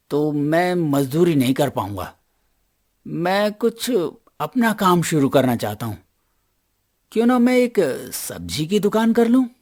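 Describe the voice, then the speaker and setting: A man voicing a lazy, scheming, hot-tempered drunkard son in a cartoon moral story, loud and boastful. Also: on the high side, exaggerated voice. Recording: music bed behind the voice.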